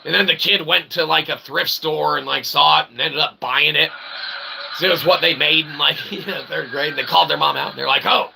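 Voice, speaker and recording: A man with a fairly high, gravelly voice rambles through a comic mock-philosophical monologue. His phrasing is pompously wordy, broken up by crude language and little tag questions. Clear ambient noise sits behind him. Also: steady tone, brisk, pushed and bright. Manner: punctuates statements with short tag questions. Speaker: solo streamer and channel host. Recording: clearly noisy, with ambient background sound.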